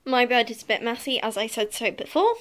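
A woman's voice: a little high, lively intonation, brisk, fairly bright tone. A young woman with a British accent chatting and teasing casually as she gives a handheld vlog tour indoors. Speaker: vlogger giving a house tour. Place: indoors, rooms of a holiday house. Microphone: handheld phone or camera. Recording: noisy handheld phone recording.

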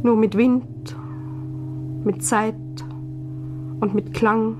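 A woman with a fairly high voice recites literary text very slowly and solemnly. Her phrasing is deliberate and nearly monotone, with prominent music behind her.

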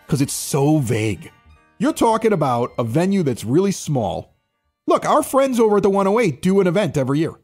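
A man's voice: a little high, darkish tone. A man hosting a sports podcast, talking in a casual, rambling, incredulous way. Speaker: podcast host. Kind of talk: casual chat. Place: home bar.

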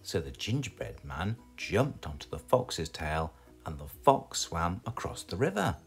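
A British man reading a children's storybook aloud in an expressive, scripted storytelling style. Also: sits low, unhurried, faint music behind. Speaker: storybook reader.

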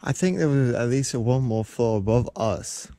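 Young male explorer, casual and awed, gravelly low tone. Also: normal speed. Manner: hushed, awed remarks. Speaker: urban explorer vlogger. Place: abandoned building, indoors.

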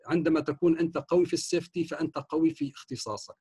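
A man's voice: a little high, steady tone, very fast, a little mellow, slightly rough. A man, an engineer in an online meeting, making a suggestion with many hesitations and English technical terms. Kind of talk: earnest comment in an online meeting.

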